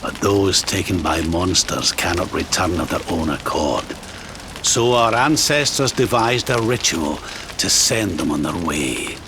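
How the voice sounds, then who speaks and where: Low, slow old Scottish man. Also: lively intonation, background noise. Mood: solemn, reverent. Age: older. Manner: solemn, halting, tender. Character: grieving, tender old man, gentle and solemn. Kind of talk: dramatic video game voice acting. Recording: loud music under the voice. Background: loud music.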